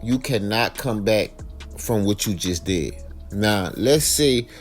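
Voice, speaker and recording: A man's voice: lowish voice, lively intonation, takes it slowly. An American man hosting informal true crime commentary, speaking colloquially and straight to his viewers, repeating phrases for emphasis. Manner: colloquial, direct address to viewers, emphatic repetition. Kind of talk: informal crime storytelling with personal opinion. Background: background music.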